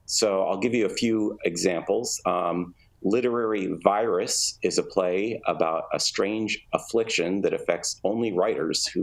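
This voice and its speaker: A man giving conversational interview answers, explaining things in a measured, halting way. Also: sits low, vocal fry, low-quality recording. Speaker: interview guest, theatre artistic director.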